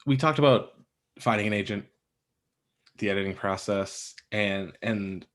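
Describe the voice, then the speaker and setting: Halting, lowish-voiced male panel host. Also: a bit bright. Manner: halting, repeats words as he starts sentences. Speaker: host, moderator. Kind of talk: casual panel moderation.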